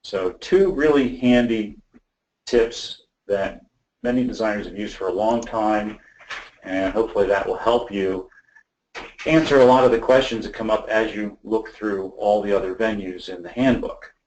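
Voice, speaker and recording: Male webinar presenter, lower register, explains technical design informally and haltingly, roomy echoing pickup. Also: darkish tone. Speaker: audio system design presenter.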